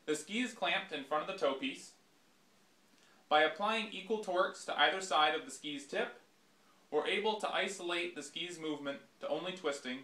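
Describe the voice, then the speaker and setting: Bright-voiced young man explaining in a workshop. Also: fairly high pitch. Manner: measured, explanatory, reads from a script. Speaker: ski company presenter. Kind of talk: scripted product demonstration. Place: small indoor workshop. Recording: echoey workshop room.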